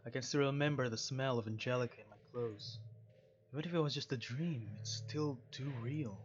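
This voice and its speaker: A man's voice: medium pitch, moderate pace, lo-fi sound, soft background music. A man doing casual, rambling let's-play commentary, reading game dialogue aloud and adding jokey asides. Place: at home.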